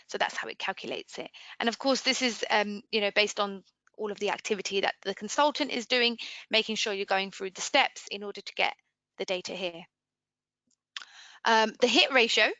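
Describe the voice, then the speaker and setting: Bright-voiced woman presenting a software webinar. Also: medium pitch, gravelly, no echo, rough recording. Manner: explanatory, conversational, posing questions to listeners. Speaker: webinar trainer. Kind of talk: informal software demo presentation. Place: indoors, screen-share webinar voice-over.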